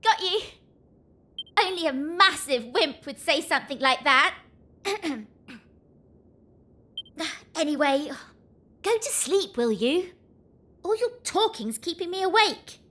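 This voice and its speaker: High-pitched teasing young girl adventurer. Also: animated, slow, long pauses, pushed and bright, exaggerated voice. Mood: teasing, bossy. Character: teasing, bossy young girl adventurer, bravado over homesickness. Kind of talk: video game voice acting.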